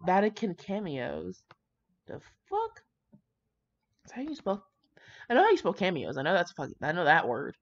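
A woman's voice: fairly low pitch, wildly swooping pitch, normal speed, pauses often, highs cut off. A young woman reading fan fiction aloud, with brash, slangy, profane casual commentary.

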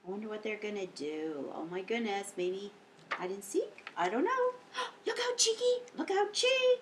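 A woman in her fifties hosting a storytime for young children as a librarian, reading aloud in an exaggerated, expressive way. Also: medium pitch, moderate pace, slight background noise. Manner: animated, exaggerated, with audible gasps and hushed whispers. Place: indoor lounge, seated on a couch.

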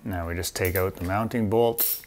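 Low-voiced man explaining a bike install. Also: normal speed, slight background noise. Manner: relaxed, plain-spoken, with fillers. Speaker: parts company host demonstrating an install. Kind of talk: casual how-to walkthrough. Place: workshop with a motorbike on a stand.

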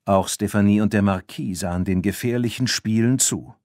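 A man narrating a children's story from a script in an expressive, animated way. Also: fairly low pitch, normal speed, no echo, clean studio sound. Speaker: audiobook narrator.

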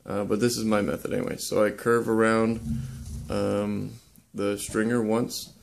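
A young man casually demonstrating how to lash boat joints, talking in a relaxed, halting way with many ums and uhs. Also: fairly low pitch, creaky. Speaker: woodworking instructor. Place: indoor workshop.

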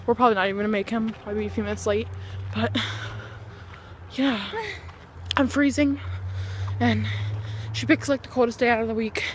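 A woman's voice: a little high, fairly even intonation, a little fast, long pauses, noisy background. A sixteen-year-old girl chatting casually into her phone camera for a vlog, giggly and slangy with lots of fillers. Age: teenager, 16. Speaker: vlogger. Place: outdoors in a cold yard, then a restaurant, then a bedroom. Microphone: phone or webcam held close.

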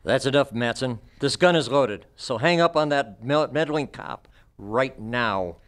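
A man's voice: medium pitch, animated, a little slow, a bit bright. An older man playing a threatening, murderous doctor, a cold schemer, in an old-time radio drama read on stage. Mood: menacing. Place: on a stage, live performance. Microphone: microphone on a stand.

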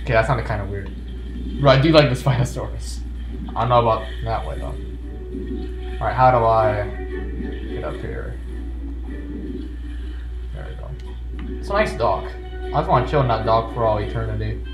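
A man's voice: lowish voice, normal speed, pauses often, roomy sound, low-quality recording. A man giving casual video game commentary with jokey, playfully sarcastic asides. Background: video game music.

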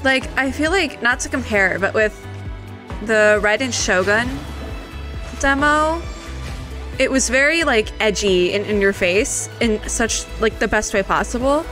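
A woman giving casual, gushing reaction commentary, rambling excitedly and peppering it with "like". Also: a little high, unhurried, soft background music. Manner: excitable, rambling, heavy use of "like". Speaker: video creator reacting to a game trailer.

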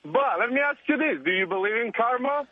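A man's voice: very high-pitched, animated, fairly quick, slightly rough. A man from Italy with an Italian accent, calling into a radio talk show and chatting casually and eagerly. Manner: chatty, eager, laughs easily. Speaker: caller. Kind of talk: casual call-in chat. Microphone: telephone. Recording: phone line.

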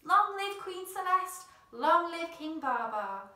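A woman voicing excited elephants in a storybook, exclaiming loudly in jubilant welcome. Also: slow pace, heavily acted. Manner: loud, high, exclaiming. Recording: roomy, distant mic.

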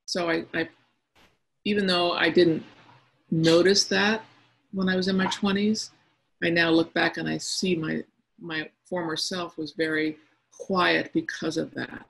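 A middle-aged woman with a quiet, soft-spoken manner, speaking over a video conference call. Her voice has a gravelly edge and a husky grain. She talks casually and thoughtfully, pausing often to gather her words. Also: on the low side, flat delivery, poor audio. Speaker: cartoonist speaking to young listeners. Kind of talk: casual reflective talk answering questions.

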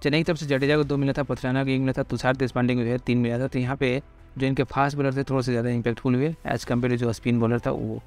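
Guy racing through cricket numbers, pretty flat delivery, faint music under it. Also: medium pitch, fast. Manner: rattles off figures with constant fillers. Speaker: cricket pitch report presenter. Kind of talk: fast informal cricket stats rundown.

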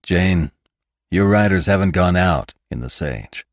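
A man's voice: deep voice, animated, measured pace, no echo, clean studio sound. A man voicing a grim, determined young cowboy hero in a western audiobook, speaking in a terse, decided way. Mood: grave. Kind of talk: audiobook character acting.

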